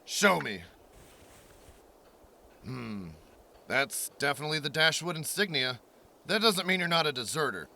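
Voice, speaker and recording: A man playing a wary, authoritative military captain in a post-apocalyptic audio drama, briskly giving orders. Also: on the high side, very animated, vocal fry, sharp tone, exaggerated voice. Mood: stern, commanding.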